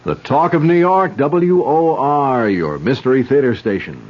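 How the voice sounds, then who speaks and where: A man reading a 1970s grocery radio commercial in a brisk price-list manner, on a dull vintage recording. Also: medium pitch, animated. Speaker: commercial announcer. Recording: dull lo-fi radio recording with loud jingle music.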